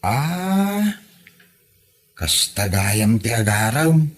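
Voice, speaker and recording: A man as a slow, husky, childlike suitor. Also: mid-range voice, very animated, exaggerated voice. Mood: eager, protesting. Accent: Ilocano. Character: simple-minded, childlike, lovesick grown village son. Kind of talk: Ilocano comedy radio drama.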